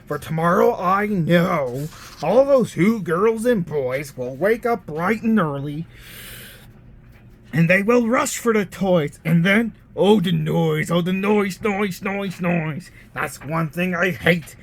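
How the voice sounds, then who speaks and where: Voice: mid-range voice, animated, takes it slowly. A narrator voicing a scheming, bad-tempered old storybook monster who snarls and growls his lines. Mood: irritated, loud. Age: older. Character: sneering, scheming, noise-hating old Christmas-stealing monster. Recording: clearly noisy background.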